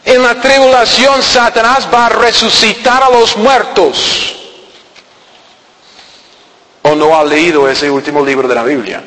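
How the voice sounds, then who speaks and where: A man's voice: high-pitched, measured pace, pauses often, pushed and bright. A man preaching a sermon in a church hall, earnest and questioning, with frequent restarts and fillers. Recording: echoey hall with long reverb.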